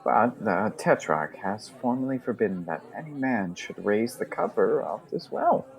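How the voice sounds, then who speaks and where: An actor playing a devoted, anxious young captain in a classical audio drama, deferential and halting as he pleads. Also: lowish voice, very animated, gravelly. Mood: nervous defiance.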